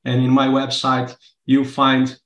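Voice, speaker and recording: A man from Brazil whose first language is Portuguese, speaking English on a video call as he walks through a testing project in a relaxed, explanatory way. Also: medium pitch, unhurried, roomy sound. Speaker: workshop presenter. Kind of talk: live online workshop walkthrough. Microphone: webcam video call.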